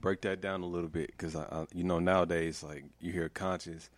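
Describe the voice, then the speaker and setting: A man's voice: low voice, flat delivery, brisk, no room sound. A man hosting a casual hip-hop podcast, chatting in laid-back slangy banter. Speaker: podcast host. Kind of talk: casual chat. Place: Denver, Colorado.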